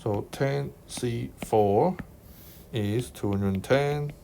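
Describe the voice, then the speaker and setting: A man from Hong Kong with a Hong Kong accent, talking through a maths exam solution as a tutor, unhurried and thinking aloud, checking in with his listeners. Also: mid-range voice, vocal fry, dark tone, a little hiss or hum. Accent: Hong Kong Cantonese. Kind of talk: explaining a worked exam solution over screen recording. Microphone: voice-over on screen recording.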